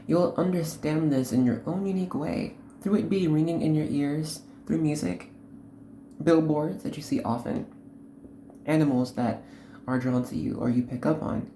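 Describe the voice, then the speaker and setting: Very low-voiced woman giving a tarot reading. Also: mellow, roomy sound, a little hiss or hum. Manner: earnest, instructive, halting delivery in short phrases. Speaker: host, tarot card reader. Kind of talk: unscripted tarot reading addressed to the viewer.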